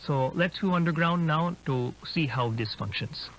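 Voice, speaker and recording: A man's voice: fairly high pitch, moderate pace, natural voice, rough recording. A man playing an inquisitive explorer, explaining things conversationally as he films a found-footage drama. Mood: curious, explanatory. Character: inquisitive male urban-explorer filming a dinosaur park. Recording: humming background noise.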